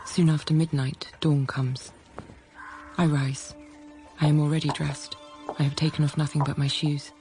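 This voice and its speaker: An actress playing a resolute, grieving young governess, narrating in the first person in a measured, intimate way for a radio drama. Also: female voice, sits low.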